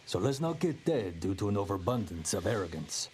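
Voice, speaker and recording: An actor playing a grave, cautious veteran monster hunter in a video game, measured and admonishing. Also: male voice, on the low side, very animated, loud music behind the voice. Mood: stern warning. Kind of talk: video game cutscene acting.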